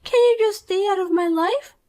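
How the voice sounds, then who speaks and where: Woman voicing Poppy, a high whiny schemer. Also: moderate pace, warm tone. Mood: smug. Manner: whiny, accusing, self-pitying. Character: shallow selfish jealous young woman. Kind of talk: dramatized story dialogue.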